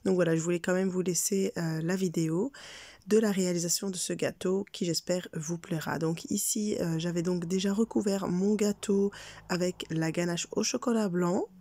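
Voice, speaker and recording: A woman's voice: on the low side, fairly even intonation, moderate pace, warm tone, dry recording. A woman with a cold, her voice congested, hosting a cake decorating tutorial in a friendly, hesitant way with many fillers. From Belgium. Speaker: cake decorating channel host. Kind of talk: casual cooking tutorial voice-over.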